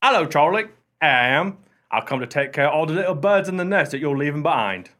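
A man acts a cheeky working-class husband in a radio play. He talks in a slightly high male voice, wry and breezy, with folksy turns of phrase and an irreverent streak. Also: expressive, fast, a bit bright. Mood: cheerful, cocky.

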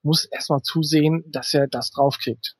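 Higher-pitched, slightly husky man. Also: fairly even intonation, moderate pace, dry recording. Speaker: interview guest, writer. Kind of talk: conversational interview.

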